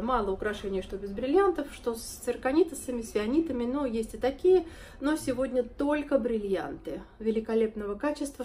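A woman in her thirties speaks close to the camera with a hoarse voice from a cold. Her tone is warm and mellow, and she keeps admiring the pieces as she calls her viewers friends. A faint shop hum sits behind her. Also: a little high. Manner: admiring, chatty, addresses viewers as girls and friends. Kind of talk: casual sales presentation to camera. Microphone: phone or camera close up, front-facing.

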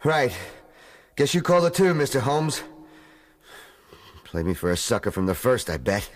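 An actor playing a middle-aged American gangster in a radio drama, sardonic and menacing. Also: male voice, medium pitch, very animated, moderate pace, close to an everyday voice. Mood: wry, cornered.

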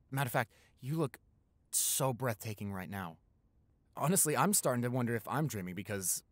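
A man's voice: mid-range voice, no echo, close to an everyday voice. A young man playing a tender, playful, smitten new boyfriend in an intimate roleplay, talking in a conversational, hesitant, affectionate way. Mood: smitten, earnest. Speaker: roleplay audio performer.

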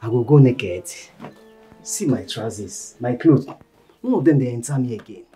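An actor plays an exasperated Nigerian husband in a film comedy. He complains in a dark, mellow voice of medium pitch. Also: lively intonation, moderate pace, soft background music, natural voice. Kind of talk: film acting in Nigerian Pidgin.

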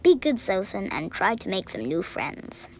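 A woman voicing an earnest, anxious seven-year-old immigrant girl, narrating her own story in an animated short with childlike, measured candour. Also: medium pitch, vocal fry, very noisy. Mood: earnest, wistful. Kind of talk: scripted first-person narration for animated short.

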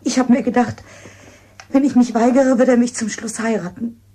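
A woman's voice: on the high side, steady tone, moderate pace, dark tone, breathy edge. An actress playing a guilt-ridden, calculating village woman who confides hesitantly in a radio crime drama. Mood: guilty, hesitant.

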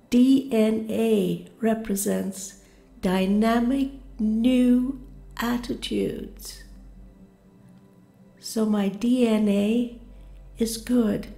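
A woman reads a guided meditation in a calm, deliberate way, taking her time over each phrase. Her voice is gentle and soothing, set in a roomy, reverberant sound with soft music behind it. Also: a little high, creaky.

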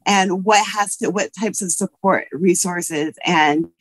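A woman's voice: on the low side, slow, no echo. A woman in her thirties, a history professor, giving prepared remarks on a video call from her office. Her voice is scratchy from allergies, and she talks earnestly with frequent fillers. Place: office with bookshelves. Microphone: webcam video call.

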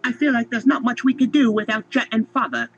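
A man's voice: very high voice, brisk, fairly bright tone, lo-fi sound, a little hiss or hum. A man voicing a melodramatic, foul-mouthed toy monster in a comic puppet parody. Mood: mock mourning. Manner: melodramatic, sweary.

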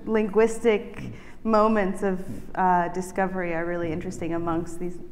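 A woman's voice: fairly low pitch, flat delivery, normal speed, noisy background. A woman from Ecuador moderating an onstage panel in a large museum auditorium, asking thoughtful questions with many fillers. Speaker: curator, moderator. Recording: echoey auditorium with faint ambience.

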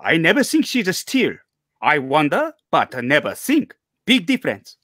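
Stubborn Korean shopkeeper dad, broken English, lively pitch, dry headset sound. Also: male voice, moderate pace, no room sound, exaggerated voice. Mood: gruff. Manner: broken English, blunt and clipped. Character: gruff Korean immigrant father shopkeeper, stubborn. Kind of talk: live table read. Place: home room. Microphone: headset on video call.

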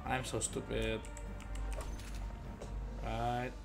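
A man's voice: mid-range voice, little pitch movement, extremely slow, vocal fry. A young man doing casual, playful gameplay commentary, often muttering and repeating phrases to himself. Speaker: game streamer. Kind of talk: casual let's play commentary. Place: indoor room at a gaming desk. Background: game music, keyboard clicks.

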